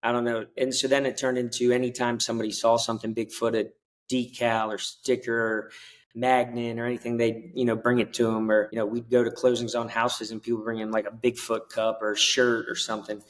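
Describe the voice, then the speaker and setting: A man's voice: mid-range voice, little pitch movement, fairly quick. A man who is a podcast guest, telling a story in a laid-back, casual way. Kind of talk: casual interview conversation.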